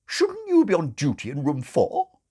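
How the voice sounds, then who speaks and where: A matronly senior nun, pitched high and swooping. She is brisk, firm and bossy, with a condescending, bustling air suited to a comic audiobook. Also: wildly swooping pitch, dark tone.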